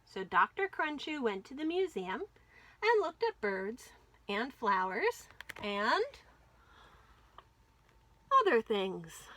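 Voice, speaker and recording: A woman reads a picture book aloud as a storytime host for children. Her voice is high and bright, and she phrases slowly with careful emphasis for little listeners. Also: sing-song.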